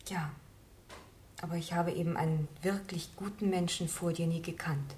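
An actress playing a devoted, sincere wife in a radio drama, earnest and pleading. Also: female voice, fairly low pitch, fairly even intonation, normal speed, a little mellow, slight background noise, natural voice. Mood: confessing. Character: devoted wife, confessing, soft-hearted and sincere.

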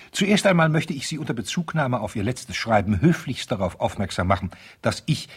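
An actor playing a vain, insistent divorce lawyer in a 1970s radio play, reading out formal letters with pompous, stilted diction and a husky voice. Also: male voice, fairly low pitch, exaggerated voice. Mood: indignant, boastful.

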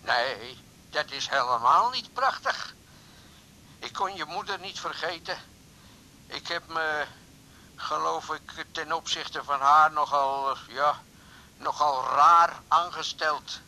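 An actor in a radio drama playing an impulsive, sheepish middle-aged suitor who talks haltingly and pleadingly. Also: male voice, on the high side, sharp tone, heavily acted, rough recording. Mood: regretful, pleading. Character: impulsive, sheepish older suitor calling on the phone. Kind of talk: 1980s Dutch radio drama acting.

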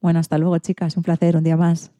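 Low, mellow-voiced female radio host from a dry studio. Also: completely flat delivery, quick pace, dry recording. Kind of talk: scripted magazine-show presenting.

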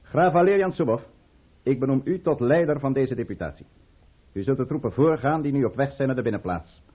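A husky aristocratic conspirator in an old, narrow-band radio drama. He gives orders in solemn, formal oratory with a very mobile pitch, cool and calculating. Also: expressive, a little fast. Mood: solemn, commanding. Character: commanding, calculating aristocratic count leading a conspiracy. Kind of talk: Dutch radio play acting. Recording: thin, phone-like old radio recording.

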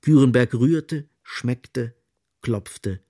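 A man narrating a literary radio play in an observant, expressive manner, with a slightly husky voice. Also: medium pitch, extremely slow. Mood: observant, measured.

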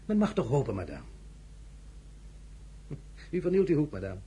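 Napoleon, swooping and grandiose. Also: male voice, medium pitch, huge pitch swings, normal speed, warm tone, husky, full character voice. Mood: cool, probing. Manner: grandiloquent, teasing, theatrical. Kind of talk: Dutch radio drama acting.

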